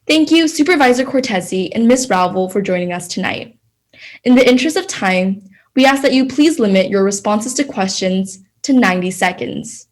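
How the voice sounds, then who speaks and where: A woman's voice: mid-range voice, animated, moderate pace. A young woman moderating a political forum, reading formal questions and instructions from a script.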